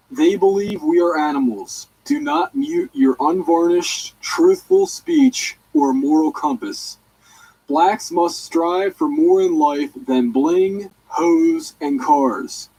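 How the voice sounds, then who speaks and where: A man's voice: on the high side, gravelly, mellow, husky, poor audio. A man reading a written letter aloud as an amateur narrator, with emphatic, declarative delivery.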